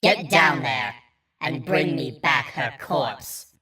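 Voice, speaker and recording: A man voicing a cruel undead necromancer queen who speaks in a slow, dismissive, irritable drawl. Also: mid-range voice, expressive, pushed and bright, recorded from a distance. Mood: cold.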